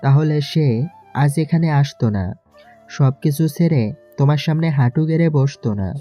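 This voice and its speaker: A man's voice: on the high side, a little slow, dark tone, soft background music, natural voice. A man playing a firm, loyal friend who speaks up earnestly in a romantic audio story, on a muffled track. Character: loyal, firm male friend defending a woman.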